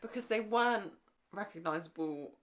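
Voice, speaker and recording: A woman's voice: medium pitch, moderate pace, a crowd in the background. A woman co-hosting a casual fan podcast, chatting in a slangy, agreeable way and readily chiming in. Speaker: podcast co-host.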